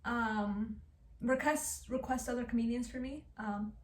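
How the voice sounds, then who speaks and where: A woman's voice: fairly high pitch, steady tone, unhurried. A woman giving casual reaction commentary in an informal, hesitant, self-deprecating way. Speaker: reaction video host. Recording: roomy, distant mic, faint noise.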